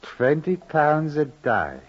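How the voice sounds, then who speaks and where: An actor playing a slow-witted, rough, greedy jailed husband in an old radio drama, ponderous and grunting. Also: male voice, a little high, sing-song, full character voice. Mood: puzzled, wary. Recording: dull old radio recording, loud noise.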